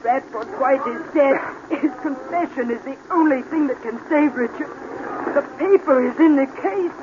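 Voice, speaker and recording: Injured, desperate woman, played by an actress, high and breathless, muffled vintage radio drama sound. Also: normal speed, noisy background, natural voice. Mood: urgent, weak. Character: injured, desperate woman trapped in a burning hotel. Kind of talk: old-time radio drama acting.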